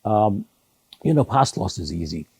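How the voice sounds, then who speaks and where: An older man whose native language is Sindhi, answering interview questions in a measured way with many pauses and restarts. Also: on the low side, sing-song, warm tone, husky, dry recording, rough recording. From Hong Kong. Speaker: retired judge, interview guest.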